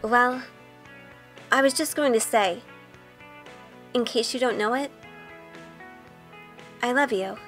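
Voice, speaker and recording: A woman plays a sweet young witch in an audio drama, telling her own story. Her voice sits fairly high and sounds earnest and unsure, with light music underneath. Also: slow, long pauses, a bit bright. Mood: tender, shy. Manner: earnest, hesitant. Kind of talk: audio drama acting with first-person narration.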